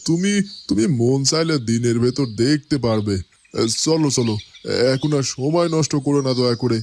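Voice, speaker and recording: A man's voice: mid-range voice, normal speed, a little mellow, slight background noise, close to an everyday voice. A man playing a middle-aged fatherly elder. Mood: coaxing.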